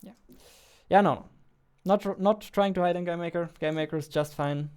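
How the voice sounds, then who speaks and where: A man's voice: sits high, brisk, a little mellow, clean tone. A man, chatting casually as he works on a livestream into a close studio mic. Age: 20s. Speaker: indie game developer streaming his work. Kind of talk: casual livestream chat while working. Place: small indoor home studio. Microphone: close studio mic on boom arm.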